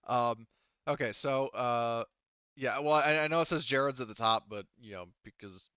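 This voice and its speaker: A man's voice: medium pitch, moderate pace, sharp tone, clean tone, no echo, lo-fi sound. A man acting as game master of a tabletop sci-fi roleplaying game, improvising and thinking aloud in a casual way.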